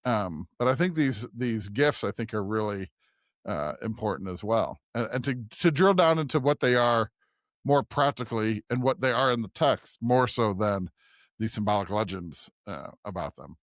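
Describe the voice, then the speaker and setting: Pria pembawa siniar, bicaranya tersendat-sendat. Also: male voice, on the low side, lively intonation, no room sound, clean studio sound. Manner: halting, searching for words, often asks "right?". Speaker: podcast co-host, preacher. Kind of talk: conversational scripture discussion.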